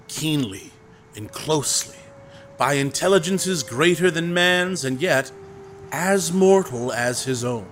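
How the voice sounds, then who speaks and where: An actor playing a suave young man in an audio drama, with a measured, grand, deliberate delivery. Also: fairly high pitch, fairly bright tone, a little hiss or hum. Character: suave, theatrical young actor reading a novel aloud.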